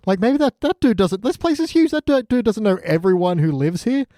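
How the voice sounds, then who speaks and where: A man with a somewhat high-pitched but mellow voice, recorded close and dry. He chats as a podcast co-host in an informal, jokey style, slipping into imagined lines as he goes. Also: expressive, brisk, no room sound. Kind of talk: casual chat reviewing a TV show.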